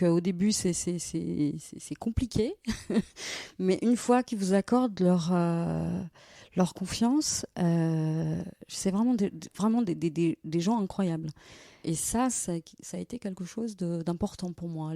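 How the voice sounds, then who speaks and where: A woman in her forties from Brussels, a lawyer telling stories as a podcast guest, lively and laughing often. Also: on the low side, a little slow, gravelly, no echo, clean studio sound. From Belgium, Brussels. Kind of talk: casual storytelling interview.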